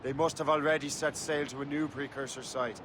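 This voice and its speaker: An actor playing a terse, determined sea captain and assassin hunter in a video game cutscene. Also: male voice, mid-range voice, fairly even intonation, fairly quick, natural voice. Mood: grim. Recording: noisy game audio with ship ambience.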